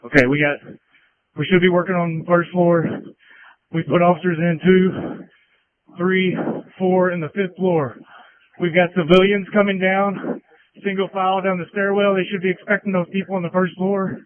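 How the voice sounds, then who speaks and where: A man's voice: sits high, little pitch movement, moderate pace. A male police tactical officer giving terse, matter-of-fact status reports over a police radio. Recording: narrowband police radio transmission.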